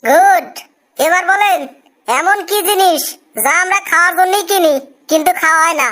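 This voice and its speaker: Cartoon interviewer: extremely high voice, Bangladeshi accent, tired, grumbling, rustic, easily impressed. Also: female voice, moderate pace, sharp tone. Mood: pleased, approving. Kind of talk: comic cartoon dialogue.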